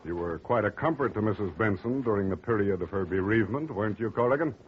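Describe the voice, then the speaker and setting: An actor playing a gruff, needling male police lieutenant in a 1950s radio drama. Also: on the low side, animated, quick pace, slight background noise, lightly acted. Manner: needling, sarcastic. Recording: dull old radio broadcast.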